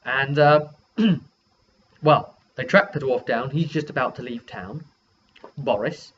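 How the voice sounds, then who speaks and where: A man with an average-pitched voice casually retells a role-playing session as its game master. He rambles along informally as he narrates. The recording is dull and lo-fi, with faint noise behind him. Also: slow. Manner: rambling, acts out quoted dialogue informally. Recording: dull lo-fi audio with background noise.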